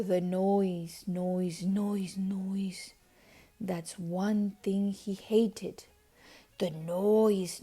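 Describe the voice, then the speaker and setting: A woman reading a children's story aloud expressively, with deliberate dramatic pauses and emphasis. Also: medium pitch, warm tone. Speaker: storybook reader.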